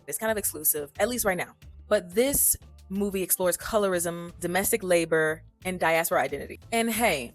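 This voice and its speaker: A woman vlogging to camera about films, chatty and animated, full of playful asides and slang. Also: lowish voice. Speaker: vlogger reviewing films for language learners. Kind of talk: casual chat to camera.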